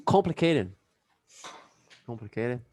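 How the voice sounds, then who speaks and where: Brazilian man teaching english, lively. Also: mid-range voice, slow, a little hiss or hum. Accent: Brazilian Portuguese. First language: Portuguese. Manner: chatty, many fillers, laughs often. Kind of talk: casual online class.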